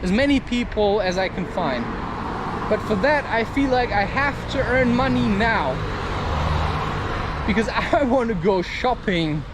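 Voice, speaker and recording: A man's voice: high voice, lively intonation, a little slow, very noisy. A man giving a candid, rambling personal monologue for a vlog, musing and often checking himself with tag questions. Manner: musing, repeatedly seeking agreement with tag questions. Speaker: vlogger and podcaster.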